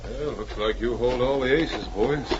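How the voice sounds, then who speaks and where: An actor playing a calm, unruffled Mountie sergeant in a 1940s radio adventure, with a cool, dry, steady manner. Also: male voice, mid-range voice, measured pace, natural voice. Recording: muffled, echoey, noisy 1940s radio recording.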